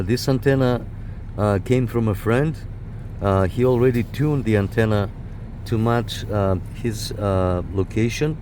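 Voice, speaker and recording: A man with a fairly low voice hosts a casual hands-on review. He talks informally, straight to the viewer. It is recorded on a handheld camera out by a parked car, with noticeable noise behind him. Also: mellow. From Canada. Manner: informal, addresses viewers directly. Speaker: video host reviewing radio gear. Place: outdoors in a parking lot and inside a parked car. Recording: noisy outdoor recording with car sounds.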